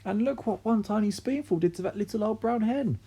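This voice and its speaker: A man's voice: fairly quick, dark tone, slightly rough. A man voicing a fretful, anxious mother who wails and shrieks in a read-aloud story. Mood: panicky. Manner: shrill, wailing, panicky.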